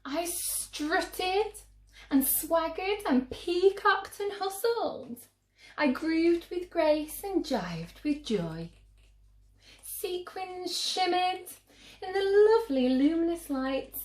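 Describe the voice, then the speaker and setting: A woman reading a picture book aloud to children with theatrical, drawn-out emphasis. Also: extremely high pitch, expressive, echoey room. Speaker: children's story reader.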